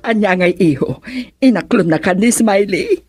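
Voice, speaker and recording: A woman playing an anxious, doting mother in an Ilocano radio drama, with drawn-out, exclaiming, fretful delivery. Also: medium pitch, expressive, a little mellow, faint music behind, natural voice. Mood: curious, fussing.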